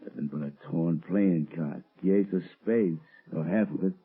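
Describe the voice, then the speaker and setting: A man's voice: sits low, normal speed, slightly rough. An actor playing a menacing, mocking crook with sneering, slangy tough-guy talk in a 1930s radio crime drama. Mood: cold menace. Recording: muffled, tinny old radio recording.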